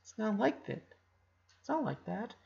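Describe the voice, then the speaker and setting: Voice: medium pitch, big pitch swings, normal speed, vocal fry, a little mellow, muffled recording, pitched above the performer's own voice. A narrator voicing an anguished, defensive eleven-year-old boy who pleads and stammers in a dramatic story. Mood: pleading.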